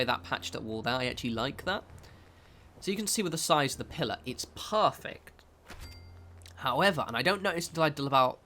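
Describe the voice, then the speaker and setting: A man's voice: mid-range voice, bright, forceful tone, slight background noise. A man, nasal and stuffed up from a cold, talking through a game building tutorial in a chatty, rambling way that keeps correcting itself. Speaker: game video creator.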